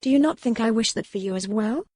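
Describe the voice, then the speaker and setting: A woman's voice: a little high, expressive, quick pace, darkish tone, no echo, clean studio sound, pitched above the performer's own voice. A woman voicing a proud, resolute young noblewoman in a romance audiobook, impassioned, with formal old-fashioned phrasing. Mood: fierce. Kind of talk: dramatized romance audiobook dialogue.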